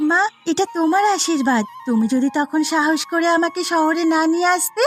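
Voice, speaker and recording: Cartoon role: very high-voiced grown daughter played by a woman, a grateful, earnest police officer, faint music behind. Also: a little fast. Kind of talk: cartoon fairy-tale voice acting.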